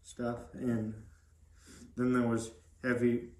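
A young man answering interview questions casually as a guest, in a halting, plain-spoken way. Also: medium pitch, monotone, darkish tone, echoey room, slight background noise. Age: young adult. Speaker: podcast guest.

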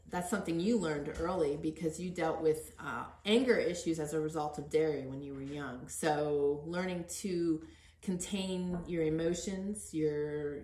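Low, even-voiced woman in an echoey room. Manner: earnest, encouraging. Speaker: podcast host interviewing. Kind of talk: conversational interview.

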